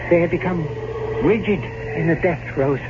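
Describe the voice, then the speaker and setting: A man acting a frightened manservant in an old-time radio drama, speaking haltingly with dread and dramatic pauses. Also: a little high, lightly acted. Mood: horrified. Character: frightened, superstitious manservant in a gothic tale. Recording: muffled, very noisy old radio recording.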